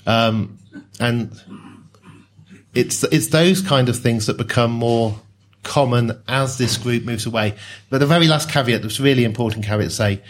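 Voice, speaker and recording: A man explaining ideas in a relaxed, conversational way with wry asides. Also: medium pitch, moderate pace. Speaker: speaker on social class. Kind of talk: discussion talk.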